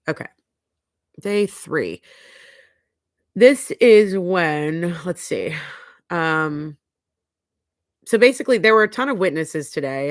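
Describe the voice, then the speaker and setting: A woman's voice: low-pitched, dry recording, clean studio sound. Congested woman chatting with blunt opinions. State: congested, sniffly. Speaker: livestream host. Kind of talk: casual chat, trial recap. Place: home livestream.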